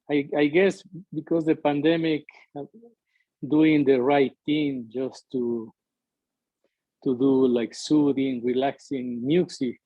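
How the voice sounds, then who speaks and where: Slow hesitant man with Ecuadorian accent. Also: medium pitch, no room sound, muffled recording. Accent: Ecuadorian Spanish. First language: Spanish. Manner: hesitant, searching for words, many fillers. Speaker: interview guest, musician. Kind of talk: conversational interview, halting English.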